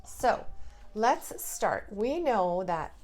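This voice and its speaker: A woman's voice: medium pitch, big pitch swings, drawn-out words, darkish tone. A woman preschool teacher giving a hands-on, instructive lesson to young children, in simple words. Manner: instructive, asks the listener simple questions. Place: indoors at a table, drawing on paper cards.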